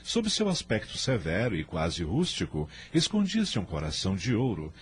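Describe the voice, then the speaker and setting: A man's voice: lowish voice, huge pitch swings, moderate pace, breathy edge. A man with a Brazilian accent giving solemn, literary narration for a radio drama. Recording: music bed under narration.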